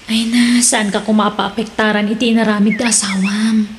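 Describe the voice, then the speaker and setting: A woman's voice: medium pitch, flat delivery, normal speed, slight background noise, close to an everyday voice. An actress with an Ilocano accent playing a caring, urging female friend in a radio drama. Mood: urging, consoling.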